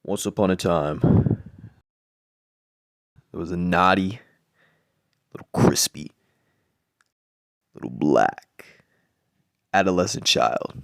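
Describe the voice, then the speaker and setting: A man improvising a crude bedtime story in a deadpan, rambling way, with lots of swearing and repeated words. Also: low voice, slow pace, gravelly, long pauses, no echo, studio quality.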